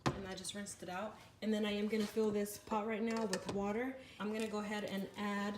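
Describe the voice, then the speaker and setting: A woman's voice: medium pitch, level tone, brisk, very noisy. A woman talking through a recipe as she cooks in her home kitchen, chatty and correcting herself as she goes, filmed on a handheld phone. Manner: chatty, self-correcting, talks while cooking. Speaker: home cooking vlogger. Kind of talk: casual cooking tutorial. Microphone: handheld phone camera.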